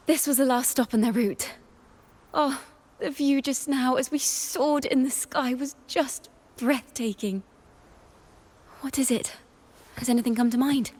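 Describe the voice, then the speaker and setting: An actress playing a gentle, thoughtful wandering bard in a video game, speaking in an expressive, measured and poetic way. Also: female voice, high voice. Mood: wistful wonder. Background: game music.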